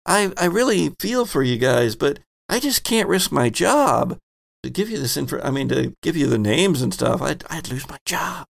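A sympathetic female clerk played by a man in a tabletop game, nervous for her job. The voice is gravelly and a little raised, halting and apologetic. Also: sits low, expressive, pitched above the performer's own voice, full character voice. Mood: apologetic, worried.

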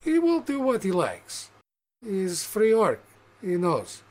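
A blunt, practical orc barkeeper, put on by a man running a tabletop game, talking in clipped, broken sentences. The voice sits a little higher and louder than plain talk. Rules are laid down flatly, one short phrase at a time. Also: slow pace, heavily acted. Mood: firm.

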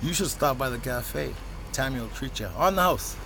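A man playing a hesitant, apologetic landowner in an audio drama, talking in a halting, low-key, indirect way. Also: lively intonation, vocal fry, noisy background. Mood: defensive, weary. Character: hesitant, apologetic male landowner asking for money. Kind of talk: naturalistic audio drama dialogue.

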